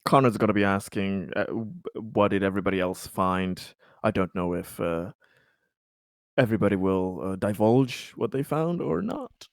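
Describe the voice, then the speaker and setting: A man talking casually as a player in a tabletop role-playing game, phrasing things haltingly and with measured care. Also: on the low side, vocal fry, dry recording, studio quality. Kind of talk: casual in-game talk, speaking for a company director character.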